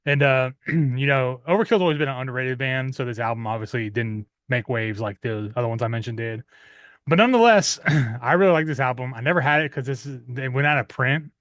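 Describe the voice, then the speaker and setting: A man in his thirties casually showing off his metal music purchases at home, rambling with many "uh" fillers and clearing his throat often. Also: mid-range voice, expressive, brisk, fairly bright tone, dry recording. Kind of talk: casual unboxing chat.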